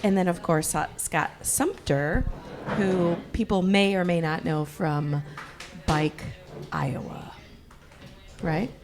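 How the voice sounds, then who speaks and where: A woman co-hosting a cycling podcast in casual chat, her voice a little hoarse, laughing often. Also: lowish voice, slow pace, long pauses, music in the background. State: slightly hoarse. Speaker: podcast co-host.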